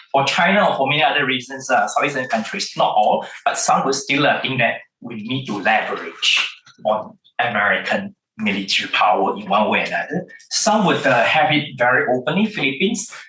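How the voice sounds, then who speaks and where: A man with a Malaysian accent giving an academic panel talk, halting often on fillers and then pressing key words with measured emphasis. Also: mid-range voice, pushed and bright. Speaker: panel speaker. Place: lecture hall or conference room. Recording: roomy hall, speaker distant from mic.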